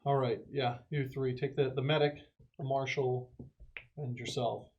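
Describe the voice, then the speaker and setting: A man's voice: medium pitch, flat delivery, unhurried. Male tabletop player, mid-range voice, casual group chat, slightly dull lo-fi recording.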